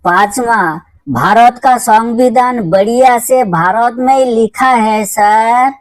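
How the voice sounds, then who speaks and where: A woman voicing a dim but earnest schoolboy in a comedy cartoon, answering in a deliberate, reciting manner. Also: on the high side. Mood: earnest, eager.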